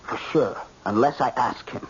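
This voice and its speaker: A man's voice: mid-range voice, moderate pace, natural voice. An actor playing a bitter, obsessed young man, a stubborn and accusing grown son, in old-time radio style. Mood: obsessed, insistent. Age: young adult. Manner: insistent, accusing. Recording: muffled, hissy old radio recording.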